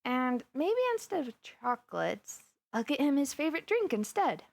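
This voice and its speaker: A woman's voice: high-pitched, big pitch swings, moderate pace, vocal fry, no echo, studio quality, full character voice. A woman playing a flustered, lovestruck supervillainess in a roleplay audio drama, chatting intimately and dramatically to her best friend. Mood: thoughtful, bashful. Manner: chatty, dramatic, playfully indignant, with sighs and gasps. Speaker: voice actor.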